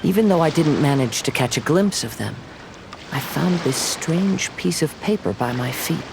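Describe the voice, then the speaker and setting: Serene young wandering samurai. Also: male voice, on the high side, expressive, creaky, noisy background. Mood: reflective. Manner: serene, polite, measured. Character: calm, gentle young male wandering samurai. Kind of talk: anime game voice acting.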